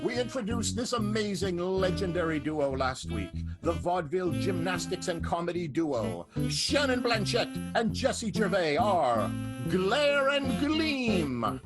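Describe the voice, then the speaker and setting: A man's voice: sits high, very animated, measured pace, over loud music. A man hosting an improvised radio play, introducing scenes with playful asides. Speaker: show host and announcer.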